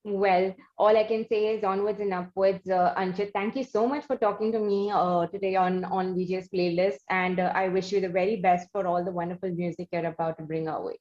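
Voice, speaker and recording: Indian lady doing an interview, kinda halting and careful, echoey dull audio. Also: mid-range voice, steady tone, a little mellow. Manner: halting, deliberate phrasing. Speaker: interviewer, show host. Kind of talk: conversational music interview. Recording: roomy, dull lo-fi sound.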